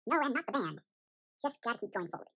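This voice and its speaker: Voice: medium pitch, very animated, fast talker, bright, forceful tone, slightly rough, full character voice. A performer voicing a panicky, bewildered young chipmunk boy in a cartoon fan story, rambling and repeating themselves in an anxious, dramatic way. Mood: confused musing.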